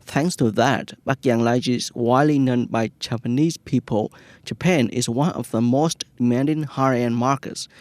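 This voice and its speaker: A man's voice: mid-range voice, fairly even intonation, moderate pace, darkish tone, no echo. A man with a Vietnamese accent, a native Vietnamese speaker, presenting scripted news in English.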